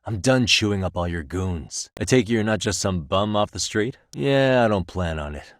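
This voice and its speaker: Man acting Takayuki Yagami, deep, self-assured game hero. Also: animated, normal speed, dry recording, studio quality, lightly acted. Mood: casual, assured. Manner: casual, self-assured, earnest. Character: Takayuki Yagami, cool, principled, easygoing male investigator. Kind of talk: English video game voice acting.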